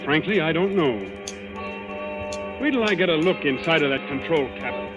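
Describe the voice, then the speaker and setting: An actor playing a cool-headed young commander in a 1940s radio drama, with clipped, urgent authority. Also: male voice, a little high, lively intonation, moderate pace, close to an everyday voice. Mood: grim, tense. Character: young naval-style commander, cool-headed hero in peril. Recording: old radio recording with music behind.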